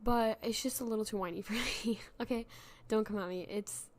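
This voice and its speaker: A woman's voice: a little high, a little fast. A young woman hosting a casual solo podcast, chatty and self-deprecating, with many fillers and restarts, her voice worn from being sick. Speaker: podcast host.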